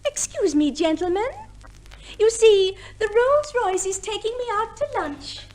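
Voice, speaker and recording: An actress playing a spoilt, fickle, coolly practical society wife in a 1950s radio comedy, with an airy, affected, theatrical manner. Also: female voice, very high-pitched, lively intonation, a little slow, darkish tone, slight background noise. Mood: breezy.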